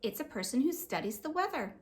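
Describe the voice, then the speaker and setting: A woman's voice: high-pitched, very animated, a little fast. Woman reading as a kind, encouraging mom. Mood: patient. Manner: comforting, patient, encouraging. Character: kind, encouraging mother of two young girls. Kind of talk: children's chapter book read-aloud.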